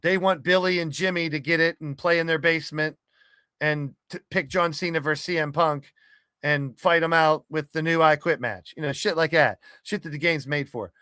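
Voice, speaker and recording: Man, gaming podcaster. Forceful, slightly high voice, blunt and loose casual delivery, dry recording. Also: no echo. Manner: blunt, sweary, rambling. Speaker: podcast host and wrestling game streamer. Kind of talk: casual monologue to an online community.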